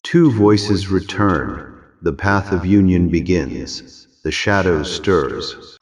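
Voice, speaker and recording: A man's voice: low-pitched, animated, slightly rough, heavily acted. A man voicing an ancient, solemn temple voice. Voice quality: solemn, measured pronouncements. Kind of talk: audio drama.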